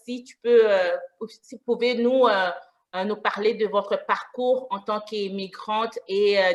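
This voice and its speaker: A woman's voice: medium pitch, fairly bright tone. Woman moderating an online panel. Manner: reads out questions, frequent fillers. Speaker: host, moderator.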